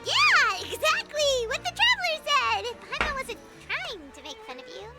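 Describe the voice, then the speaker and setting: Casting: tiny childlike game sidekick, extremely high, swooping voice, blunt and excitable, music underneath. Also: female voice, moderate pace, exaggerated voice. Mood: eager, placating. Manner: refers to self in third person, exclamatory. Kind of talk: video game voice acting.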